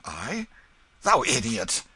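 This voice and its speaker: A man's voice: mid-range voice, wildly swooping pitch, slow pace, bright, forceful tone, breathy edge, voice pushed up, heavily acted. A man voicing a haughty, indignant boy king in a storybook, giving imperious, clipped retorts in archaic speech.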